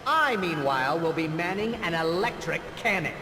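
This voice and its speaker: A man's voice: on the high side, expressive, normal speed, noisy background, lightly acted. An actor playing a boastful, theatrical showman villain with a grudge in a video game. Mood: grandiose, taunting. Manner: pompous, theatrical.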